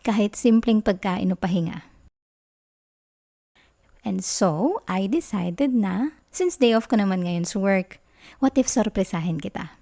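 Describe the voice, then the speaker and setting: Woman doing a sweet filipina girlfriend asmr, soft n relaxed, caring, kinda playful and teasing. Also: medium pitch, measured pace, long pauses. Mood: gently concerned. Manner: soft, intimate, giggly, teasing. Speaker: ASMR roleplay performer. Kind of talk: affectionate girlfriend ASMR roleplay in Taglish.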